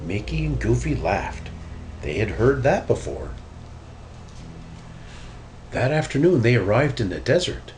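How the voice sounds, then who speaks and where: Man reading a children's story, animated pitch. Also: a little slow, long pauses, slight background noise. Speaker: storybook reader. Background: music.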